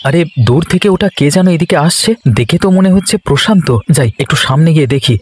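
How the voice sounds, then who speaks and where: Man acting quick, high-voiced excitable village husband. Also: slight background noise, close to an everyday voice. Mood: alarmed. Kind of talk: cartoon dialogue.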